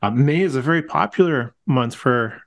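A man's voice: medium pitch, normal speed. Friendly middle-aged podcast host man. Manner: friendly, restarts words, gentle laughs. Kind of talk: casual interview podcast.

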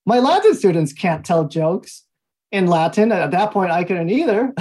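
A man in his thirties from Mexico, speaking as an interview guest, telling his story in a relaxed way with frequent fillers and small laughs. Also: high-pitched, unhurried, breathy edge. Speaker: guest, language institute founder. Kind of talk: conversational interview answers.

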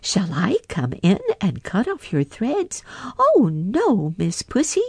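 A woman voicing a chorus of tiny, rude, merry mouse tailors who chant nursery rhymes in a high sing-song. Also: wildly swooping pitch, takes it slowly, darkish tone, husky, dry recording, heavily acted. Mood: merry, teasing.

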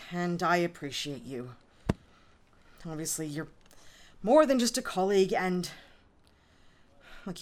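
A woman playing a brash, wry, persuasive police sergeant in an audio drama. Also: on the low side. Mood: sincere.

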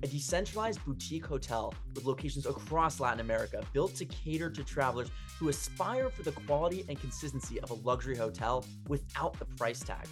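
Fast-talking male podcast host. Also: medium pitch, lively intonation, over music. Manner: brisk, eager. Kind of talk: scripted intro and interview questions.

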